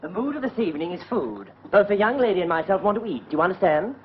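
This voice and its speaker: An actor playing an eager, flustered army captain who stammers on the phone and orders a waiter about, in a 1940s British film comedy. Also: male voice, sits high, sing-song, fast talker. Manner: flustered, stammering then commanding. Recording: dull 1940s film soundtrack with faint hiss.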